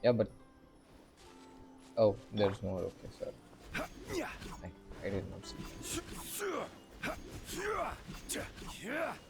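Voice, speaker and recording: A man's voice: on the low side, huge pitch swings, extremely slow, gravelly, pauses often. A man giving casual playthrough commentary as a gaming streamer, muttering and sarcastic, with some swearing. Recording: game music behind his commentary.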